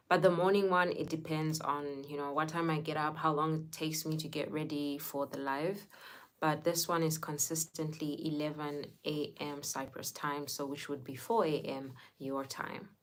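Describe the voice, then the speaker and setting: A young woman from Zimbabwe hosting a casual livestream, chatting with viewers, greeting them by name and laughing often. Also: deep voice. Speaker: livestream host.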